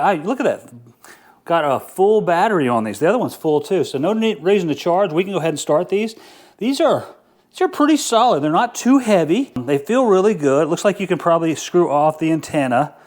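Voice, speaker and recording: A man with a somewhat higher-pitched voice gives a casual product review. He talks in an informal, easygoing way, thinking aloud as he handles an unboxing. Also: big pitch swings, brisk.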